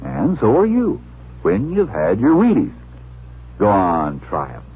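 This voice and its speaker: A man delivering a chummy, emphatic 1950s radio commercial pitch. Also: huge pitch swings, a little slow, breathy edge. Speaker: commercial announcer. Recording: narrowband vintage radio recording.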